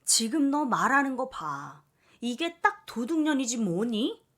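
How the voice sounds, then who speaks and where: A woman's voice: a little high, sing-song, a little slow, fairly bright tone, pitched above the performer's own voice, full character voice. Woman acting stern, protective middle-aged aunt. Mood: scolding. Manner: firm, emphatic warnings.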